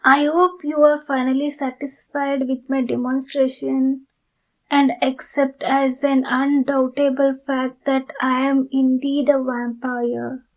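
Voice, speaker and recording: A woman playing a courteous, earnest female vampire in an ASMR roleplay, speaking formally to the listener with halting phrasing. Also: high voice, flat delivery, poor audio. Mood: earnest, explaining. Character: polite, pleading female vampire in need of blood.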